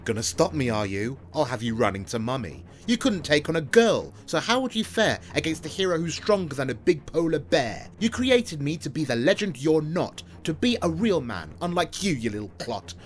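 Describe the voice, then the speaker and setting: An actor playing a boastful, bullying hero, delivering rhyming lines in a taunting, pompous, theatrical manner. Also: male voice, fairly high pitch, big pitch swings, normal speed, few pauses. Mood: mocking, menacing. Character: bullying, boastful fictional hero man turned on his author. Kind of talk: rhyming scripted audio drama.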